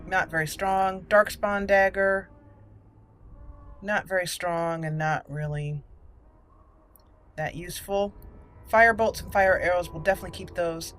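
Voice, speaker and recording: A woman hosting a roleplay game playthrough, deliberately narrating her actions aloud. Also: on the low side, a bit bright. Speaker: gaming channel host. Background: faint game music.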